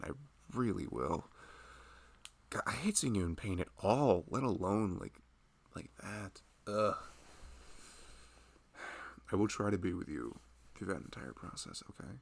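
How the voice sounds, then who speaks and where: A man plays a loving, protective husband in an intimate ASMR roleplay. His low voice has plenty of gravelly fry, and it moves expressively as he rambles softly and conversationally to his pregnant wife. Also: expressive, unhurried, pauses often, full character voice. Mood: sympathetic, worried. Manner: soft-spoken, rambling, conversational. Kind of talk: intimate ASMR roleplay, casual improvised chat.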